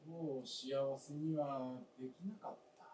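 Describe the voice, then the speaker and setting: An actor playing a frail, grieving old husband in a staged radio drama, speaking haltingly in hushed murmurs that surge with feeling. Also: male voice, mid-range voice, fairly even intonation, lightly acted. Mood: tender regret. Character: grieving, frail old husband longing for his late wife. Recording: roomy stage, distant mic, faint noise.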